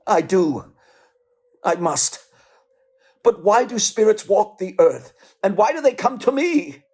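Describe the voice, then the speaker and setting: A querulous old male miser in a theatrical radio play. His voice is rough-edged and fairly high, swinging dramatically up and down as he argues and frets. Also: very animated, takes it slowly, darkish tone, heavily acted. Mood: terrified. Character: skeptical, frightened old miser, cantankerous.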